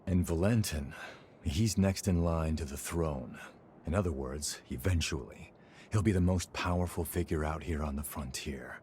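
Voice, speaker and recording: Deep-voiced, easygoing fighter. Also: male voice, normal speed, a little mellow, slight background noise. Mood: earnest, reflective. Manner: casual, colloquial. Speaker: video game character. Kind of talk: video game cutscene dialogue.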